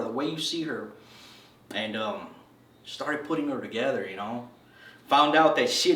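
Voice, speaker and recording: A man in his thirties from El Salvador, sharing his story in a loose, slangy way with "bro" thrown in all the time. His voice sits at an ordinary middle pitch. He is a little off the mic in a roomy, echoing space. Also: a bit bright. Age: 30s. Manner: laid-back slangy storytelling, says "bro" a lot. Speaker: interviewee. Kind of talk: casual storytelling interview. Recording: echoey room from a distance.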